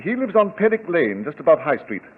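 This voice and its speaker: A thin, muffled vintage radio recording carries a stately lord. He speaks formally and proudly, with wide oratorical swings of pitch and a husky grain. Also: big pitch swings, brisk. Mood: polite, grave. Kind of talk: old-time radio drama acting.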